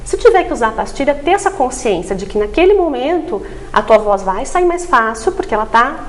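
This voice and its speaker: A woman's voice: a little high, sing-song, fairly quick. A woman explaining things didactically as a specialist guest in a TV interview, often adding short tag questions. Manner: didactic, frequent tag questions seeking agreement. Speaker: voice therapist guest.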